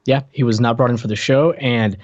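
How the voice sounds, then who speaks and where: Male podcast co-host, mid-pitched, wry informal TV banter, slightly muffled and very dry recording. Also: steady tone, fairly quick, no room sound. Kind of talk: casual chat about a TV show. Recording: dull, dry lo-fi microphone sound.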